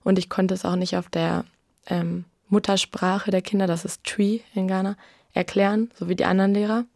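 A woman's voice: medium pitch, little pitch movement, moderate pace, creaky, darkish tone, no room sound, clean studio sound. A young woman in her twenties, a student and former volunteer, answering interview questions in a plain, casual way. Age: 20s.

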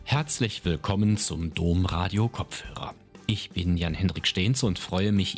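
A man hosting a church radio podcast, presenting in calm, formal scripted narration. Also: deep voice, husky. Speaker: podcast host.

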